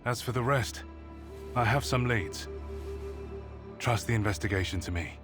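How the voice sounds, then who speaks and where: An actor playing a grave, protective mentor in a video game, speaking in a solemn, measured way. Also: male voice, lowish voice, music in the background, close to an everyday voice. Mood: solemn concern. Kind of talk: video game cutscene acting.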